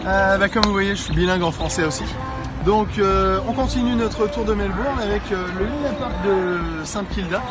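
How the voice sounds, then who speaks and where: A man giving a playful, halting outdoor city tour as a casual video message, pausing often as he talks. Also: high voice, loud music behind the voice. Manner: jokey, hesitant, halting with frequent pauses. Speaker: self-styled tour guide sending a wedding video message. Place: outdoors on city streets and by a river.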